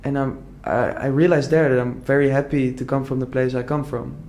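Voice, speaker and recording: A man's voice: medium pitch, level tone, fairly quick, a little mellow. Earnest young Dutch man, chatty. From Netherlands. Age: young adult. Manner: earnest, rambling, many "like" fillers and restarts. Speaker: entrepreneur guest. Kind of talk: casual conversational interview.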